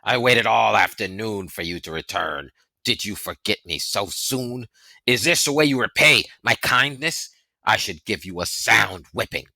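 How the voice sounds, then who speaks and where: A man acting out a huge, gruff storybook protector with a beard. He is blunt and quick to scold, yet kindly underneath. The low-set voice swings widely and has a forceful, bright edge. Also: expressive, no echo, exaggerated voice. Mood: angry scolding. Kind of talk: audiobook character voice.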